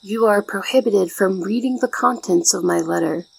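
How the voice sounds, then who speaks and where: A woman playing a shy, polite, devoted young maid in an ASMR roleplay, speaking gently and formally. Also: mid-range voice, moderate pace, creaky, slightly rough, low-quality recording. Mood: gently firm, grateful.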